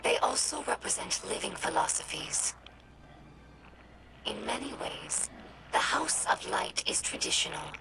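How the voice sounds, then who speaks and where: A woman voicing an earnest young female alien scribe in a video game audio log, explaining in a measured, formal and thoughtful way with a breathy, creaky voice. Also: medium pitch, big pitch swings, very noisy, exaggerated voice. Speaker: scribe recording audio logs for humans.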